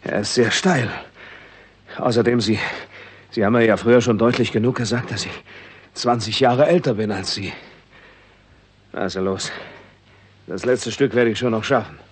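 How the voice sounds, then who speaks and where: A man playing a weary middle-aged man with a husky voice in a 1960s radio drama. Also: mid-range voice, moderate pace, long pauses, dry recording, close to an everyday voice. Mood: strained, touchy. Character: weary middle-aged man, twenty years older than companion.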